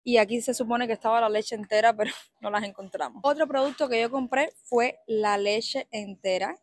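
A woman from Cuba with a Cuban Spanish accent, chatting excitedly to her viewers while walking a supermarket aisle. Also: on the high side, moderate pace. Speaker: vlogger. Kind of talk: casual walk-through vlog.

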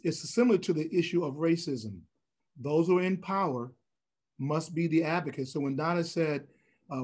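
A man's voice: fairly high pitch, a little mellow. Older man speaking with preacher-like force. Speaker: panelist, church leader. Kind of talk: panel discussion. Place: room at home. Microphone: video call.

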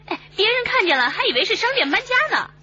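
A woman's voice: very high-pitched, big pitch swings, fast, pushed and bright, exaggerated voice. An actress with a Mainland Chinese Mandarin accent playing a cheeky, playful policewoman in a radio drama. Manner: playful, coquettish, teasing. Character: perky, cheeky, fashion-minded female police detective. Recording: slightly muffled broadcast with faint noise.

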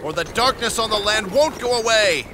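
A man's voice: sits high, moderate pace, noisy background, close to an everyday voice. Man voicing righteous lord, declamatory, game cutscene. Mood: resolute.

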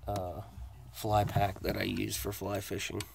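Deep flat-voiced guy, slow and easygoing, walking through his gear room on his phone, faint room hum. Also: gravelly. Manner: plain, matter-of-fact listing with hesitant fillers. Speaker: hobbyist giving a tour of his fishing workshop. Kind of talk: casual walkthrough narration. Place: cluttered home workshop indoors. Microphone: handheld phone camera held by the speaker.

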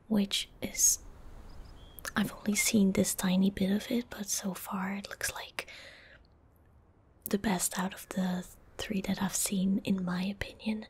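A young woman talks in soft ASMR style, very breathy and whispered. Her delivery is gentle and hushed, with little rise and fall in pitch. She sounds close to the microphone. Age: young adult. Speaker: ASMR video creator. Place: small indoor room at home. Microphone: close desk microphone.

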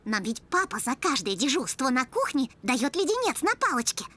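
A woman's voice: sits high, big pitch swings, moderate pace, bright, forceful tone, full character voice. A woman voicing a sweet, eager little puppy child in a cartoon, with music underneath. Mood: earnest. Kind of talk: animated cartoon acting.

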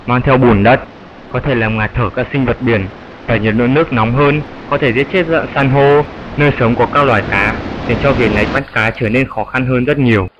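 A man's voice: medium pitch, fairly bright tone, lightly acted. Man voicing an old bat grandfather, noisy track. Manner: didactic, measured. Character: old grandfather flying fox explaining climate to youngster. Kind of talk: educational cartoon dialogue, scripted explanation.

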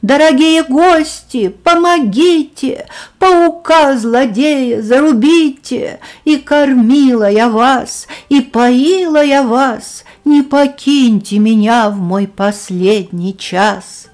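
A woman's voice: takes it slowly, a little mellow. A woman voicing a frightened female fly who begs her guests for help, in a storybook reading. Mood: desperate pleading. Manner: pleading, high and strained.

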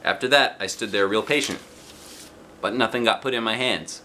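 A middle-aged man giving an expressive, playful read-aloud of a children's book. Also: on the low side, moderate pace. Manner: theatrical, playful emphasis. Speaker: storyteller reading a children's book. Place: small living room, indoors. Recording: faint background room noise.

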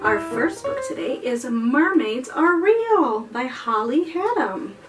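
A woman in her thirties hosting a children's storytime, presenting and reading aloud expressively and deliberately for small children. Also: high voice, sing-song, loud music behind the voice. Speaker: children's librarian, storytime host. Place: indoor room before a chalkboard wall. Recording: distant mic, faint background noise.

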